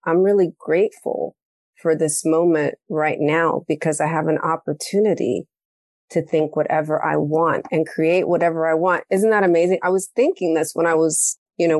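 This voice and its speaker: A woman hosting a podcast, telling a story in a casual, animated way and acting out the quoted lines. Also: sits low, dry recording, clean studio sound. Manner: animated storytelling, acts out quoted dialogue, laughs often. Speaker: podcast host. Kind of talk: casual conversational interview.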